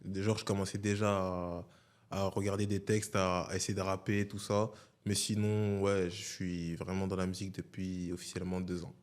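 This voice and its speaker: A man's voice: low-pitched, little pitch movement, normal speed. A man in his twenties, a guest artist, speaking hesitantly with lots of fillers in casual chat. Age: 20s. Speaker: guest, rapper.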